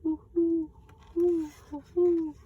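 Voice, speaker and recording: A father in a storybook cups the night with loud, high owl hoots, as a woman reading the tale puts him on. Each call is drawn out and far above her own voice. The hoots carry plainly as an owl's call. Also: level tone, takes it slowly, highs cut off. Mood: hooting. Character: father calling like a great horned owl, hooting. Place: outdoors in a forest.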